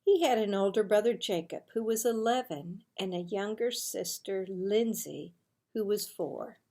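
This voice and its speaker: A woman reading a children's storybook aloud in a deliberate, expressive storytelling way. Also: mid-range voice.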